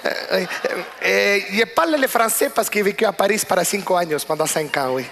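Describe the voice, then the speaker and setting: A man voicing a polite Colombian newcomer who speaks slowly and haltingly, with a deadpan manner, in a stand-up act. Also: high-pitched, vocal fry, heavily acted. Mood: polite, hesitant.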